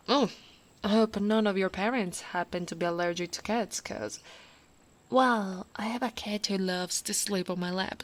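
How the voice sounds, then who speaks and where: An Italian woman playing a tall, devoted female orc royal guard in an ASMR roleplay, speaking softly and intimately as if to the one listener she loves. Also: mid-range voice, natural voice. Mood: affectionate, reassuring. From Italy. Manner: slow, intimate, often dropping to a whisper.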